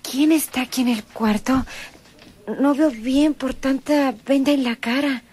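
A woman's voice: high-pitched, a little slow, a little mellow, husky. An actress playing an injured, devoted young woman in love in a radio drama, speaking hesitantly and plaintively. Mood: plaintive, loving.

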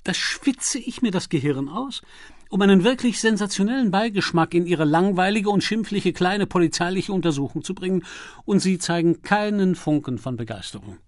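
An audiobook gentleman detective played by a man, aristocratic and breezy. He teases and exclaims playfully, in a raised voice recorded very dry. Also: sits high, lively intonation, moderate pace, dry recording, voice pushed up. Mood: mocking impatience. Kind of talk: audiobook character acting.

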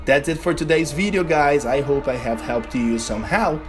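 A young man from Brazil with a Brazilian Portuguese accent, narrating a game tutorial in an earnest, slightly halting way. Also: a little high, music in the background. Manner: earnest, slightly halting non-native delivery. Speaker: gaming video creator.

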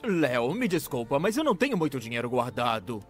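A man playing a kindly, absent-minded workshop master in an animated film dub, expressive, with a Brazilian accent. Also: medium pitch, brisk, soft background music, natural voice. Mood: sympathetic. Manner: animated, expressive, muttering while thinking.

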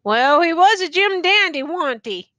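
A woman's voice: extremely high pitch, expressive, unhurried, bright, forceful tone, dry recording, pitched above the performer's own voice, heavily acted. A woman voicing a weary, ragged male soldier who talks in a rural dialect and jokes through his pain. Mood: musing. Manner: rural dialect, drawling and musing.

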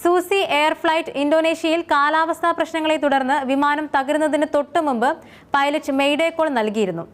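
A woman's voice: high-pitched, moderate pace. A woman reading a scripted explainer as its narrator.

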